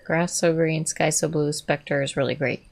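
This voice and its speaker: A woman's voice: low voice, fairly even intonation, moderate pace, vocal fry. A woman co-hosting a movie reaction, making playful, teasing quips. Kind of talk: casual reaction commentary.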